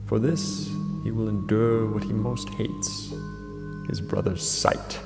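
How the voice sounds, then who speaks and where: An actor playing a vengeful king tormented by rage in a classical tragedy, declaiming gravely and theatrically. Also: on the low side, flat delivery, slow pace. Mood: scheming, cold. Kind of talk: classical tragedy monologue, declaimed audio drama. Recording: long hall reverb, music underneath. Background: dramatic music.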